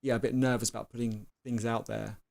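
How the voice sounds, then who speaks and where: A man hosting a live stream, chatting casually and acting out quotes with lively swings, often repeating words as he restarts. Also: medium pitch, fast, vocal fry.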